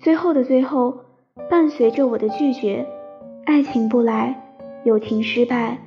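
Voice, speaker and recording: A woman playing a shy, romantic young woman who tells her love story in a soft, sentimental radio drama style. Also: fairly high pitch, a little slow. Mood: wistful, tender. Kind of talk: Chinese radio drama, sentimental first-person narration. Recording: slightly dull sound under piano music.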